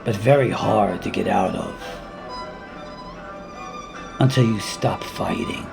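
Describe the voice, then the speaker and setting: A man reading his own dramatic monologue in a deliberate, intense spoken-word style, with weighty pauses and repeated words. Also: on the low side, gravelly, music in the background.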